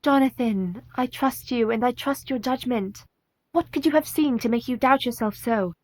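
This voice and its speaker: An actress playing a devoted, steadfast young Victorian wife in a radio play, formal and tender. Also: female voice, a little high, normal speed, no echo, natural voice. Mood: tender, resolute.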